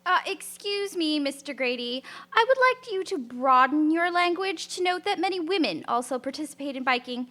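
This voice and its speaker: A woman performs a prim and assertive lady cyclist of the 1890s in a radio play. Her voice is high and bright, swinging up and down as she sets people straight with indignation. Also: animated, normal speed, few pauses. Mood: prim, insistent. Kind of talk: radio drama acting.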